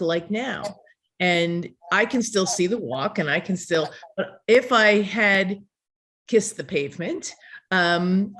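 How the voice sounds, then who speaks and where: An older woman speaks on a community video call in a mid-range voice. She talks earnestly and a little ramblingly, with frequent fillers and restarts. The sound comes through a video call mic. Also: medium pitch.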